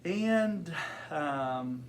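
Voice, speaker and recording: Slow-talking young man who stutters. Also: on the high side, expressive, a little hiss or hum. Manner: stutters, with many fillers and repeated words; thoughtful, open. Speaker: interviewee. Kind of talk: casual interview.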